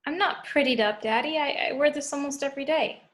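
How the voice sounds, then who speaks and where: A frightened teenage girl, read by a woman at a home table read, pleading in a shaky, breathless way. Her voice sits very high. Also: a little fast, a bit bright. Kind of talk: amateur table read over video call.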